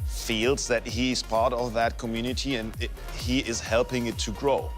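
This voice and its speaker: A man's voice: mid-range voice, little pitch movement, normal speed. A man speaking as an industry interviewee, giving his commentary. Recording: music behind the voice.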